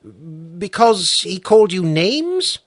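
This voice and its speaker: A man reading the part of a stern, patient judge who questions the accused formally and slowly. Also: high voice, sing-song, dry recording, studio quality, pitched above the performer's own voice, exaggerated voice. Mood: stern questioning.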